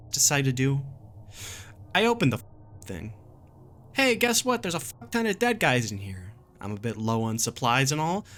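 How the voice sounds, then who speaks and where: A man playing a jaded, gruff, exasperated wanderer recording a survival warning, in a sardonic and emphatic dramatic horror reading. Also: medium pitch, fairly bright tone. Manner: sardonic, emphatic, colloquial grumbling.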